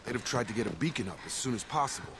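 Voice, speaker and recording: An actor playing a sober, guarded soldier in a video game, speaking in a measured, understated way. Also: male voice, medium pitch, expressive, natural voice. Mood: sombre. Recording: very noisy background.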